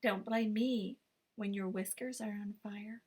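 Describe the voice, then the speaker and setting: A woman voicing a quick-witted male guinea pig trickster in a storybook reading, high and excitable. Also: breathy edge. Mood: sly.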